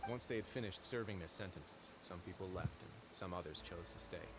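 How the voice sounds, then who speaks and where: An actor playing a calm, wry duke in a video game, speaking in a measured, composed way. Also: male voice, sits low, over loud music, close to an everyday voice. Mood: solemn. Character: calm, wry male duke ruling an underwater prison fortress. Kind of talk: scripted video game dialogue and storytelling.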